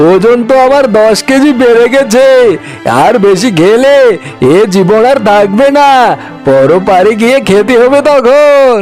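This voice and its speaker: A man's voice: very high-pitched, a little mellow. A man voicing a gluttonous, bossy, wicked tiger sorcerer in a cartoon, with blustering, mocking exclamations. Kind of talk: cartoon voice acting. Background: faint music.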